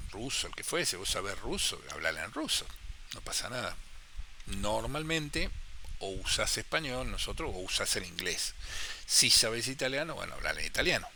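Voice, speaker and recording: A man with a Rioplatense Spanish accent talks through amateur radio in a loose, chatty teaching style. His voice is very bright and sharp. He repeats phrases for emphasis and voices the questions he expects from listeners, with a faint hiss behind him. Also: medium pitch, vocal fry. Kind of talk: casual how-to talk.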